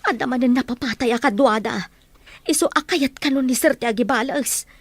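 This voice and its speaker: An actress playing a loyal, nervous household helper in an Ilocano radio drama. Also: female voice, high voice, brisk, husky, no echo. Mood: worried.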